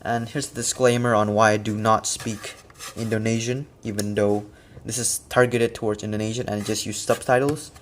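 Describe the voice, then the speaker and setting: A man's voice: on the low side, flat delivery, normal speed, a little hiss or hum. A man casually explaining his custom figure work step by step, with occasional fillers. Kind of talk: casual product walkthrough.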